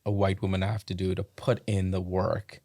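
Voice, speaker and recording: A man's voice: deep voice, flat delivery, moderate pace, warm tone, no echo, studio quality. A man from the Bahamas hosting a casual podcast chat, asking careful, hedged questions and telling stories with laughs. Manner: thoughtful, hedging questions, laughs through stories. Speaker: podcast host.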